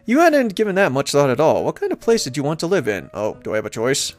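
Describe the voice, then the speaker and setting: A man's voice: on the high side, wildly swooping pitch, fast talker, faint music behind. A man hosting a let's play, reading game text aloud dramatically and adding playful, wry asides.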